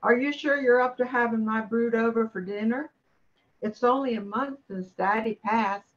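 A woman's voice: on the high side, moderate pace, lightly acted. A woman playing a caring, dutiful grown daughter and mother in an amateur radio play, on a dull recording.

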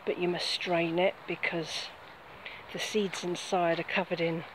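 A woman's voice: lowish voice, flat delivery, unhurried. Woman, middle-aged, chatty walk-and-talk on her phone outdoors, lots of wind noise. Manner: chatty, points things out as she walks. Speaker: vlogger. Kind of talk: casual walk-and-talk vlog. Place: outdoors on a grassy field by bushes. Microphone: phone camera held close.

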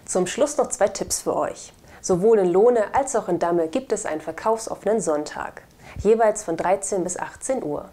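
A woman's voice: medium pitch, moderate pace, mellow, a little hiss or hum. A woman in her thirties reading scripted news as a studio presenter. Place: indoor studio. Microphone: lapel.

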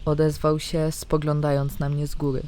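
A woman narrating a scripted fantasy diary in the first person, with dramatic, expressive storytelling. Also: low-pitched, normal speed, a little hiss or hum. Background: background music.

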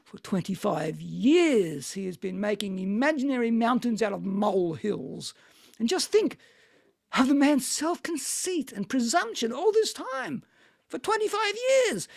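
A man's voice: very high voice, creaky, darkish tone, heavily acted. A man reading the part of a bitter, envious, sardonic middle-aged man in an amateur stage play. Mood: scornful. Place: at home on a video call. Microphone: video call microphone.

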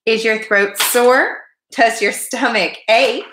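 A woman's voice: lowish voice, animated, normal speed, roomy sound, heavy background noise, natural voice. A woman voicing a concerned, caring mother in a children's storybook. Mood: anxious. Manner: anxious, caring.

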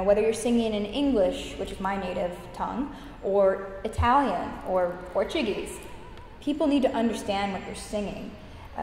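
A young woman from the United States talks about singing with bubbly enthusiasm and a ready laugh. Her voice carries a long, roomy reverb from the indoor space around her. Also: medium pitch. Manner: enthusiastic, laughs often, warm gushing praise. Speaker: guest opera singer. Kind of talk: interview answers. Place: room with high windows, indoors. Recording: echoey room, reverb, faint noise.

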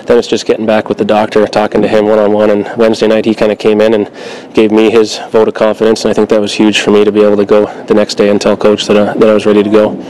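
Male player, 20s, locker room, modest, halting, flat and fast. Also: on the low side, low-quality recording. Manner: modest, hesitant, many uhs. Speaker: hockey player. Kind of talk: post-game interview. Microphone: handheld mic held by reporter.

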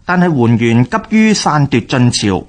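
Male narrator, Hong Kong Cantonese, mid-pitched, scripted history radio narration. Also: very animated, normal speed.

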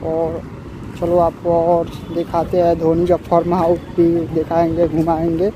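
Young man, flat delivery, noisy outdoors. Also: a little high, a little slow. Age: 20s. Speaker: vlogger. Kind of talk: casual walking vlog narration. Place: outdoors at a sports ground and roadside. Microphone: phone at arm's length. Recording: very noisy outdoor traffic and ambience.

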